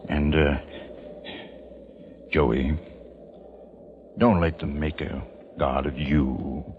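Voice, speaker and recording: Very deep, slow, frail old patriarch. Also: male voice, steady tone, a little hiss or hum, full character voice. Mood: solemn, earnest. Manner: halting, repeats phrases slowly. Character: very old, frail, near-blind patriarch, wistful and earnest. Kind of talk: 1940s radio drama acting. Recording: muffled old radio recording with music.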